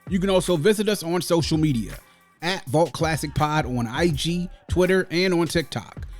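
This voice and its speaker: A man's voice: fairly high pitch, normal speed. A man hosting a music review podcast, giving opinions in an emphatic, conversational way and repeating phrases for emphasis. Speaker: podcast host.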